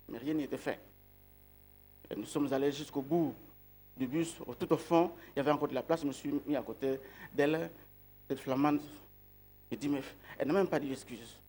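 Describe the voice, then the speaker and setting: A man with a fairly high voice preaches a reflective sermon. He speaks slowly, lingers on key words and poses questions to his listeners before answering them himself. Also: darkish tone, slightly rough. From outside Belgium.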